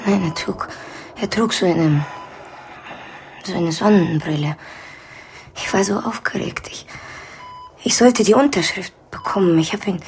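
A woman playing a distraught, ashamed woman in her thirties in a radio drama, halting, hushed and repeating her phrases. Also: medium pitch, a little hiss or hum, close to an everyday voice. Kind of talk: naturalistic radio drama acting.

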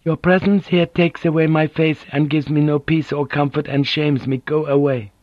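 A man reading a story aloud, voicing a proud, wounded noblewoman who speaks calmly and bitterly. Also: low voice, moderate pace, no echo, dull audio, pitched above the performer's own voice, close to an everyday voice. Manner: calm, controlled, bitter.

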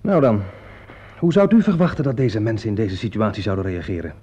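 Touchy young amateur sleuth, husky and mellow. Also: male voice, on the low side, big pitch swings, fairly quick. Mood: self-important. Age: young adult. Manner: defensive, self-important, hesitant in places. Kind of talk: radio play acting in Dutch. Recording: dull lo-fi radio play recording.

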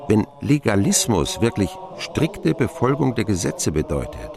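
Deep, gravelly older storyteller. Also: male voice, unhurried, crowd noise, heavily acted. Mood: wry, puzzled. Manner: wry, expressive storytelling. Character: older man wryly recalling his boyhood. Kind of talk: radio drama narration, first person.

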